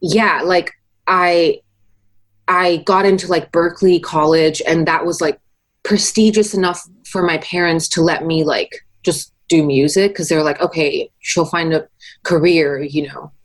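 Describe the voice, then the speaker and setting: A woman's voice: low voice, steady tone, measured pace. A young woman from Taiwan, a musician guest in her twenties, talking casually in an interview with many fillers and frequent laughs.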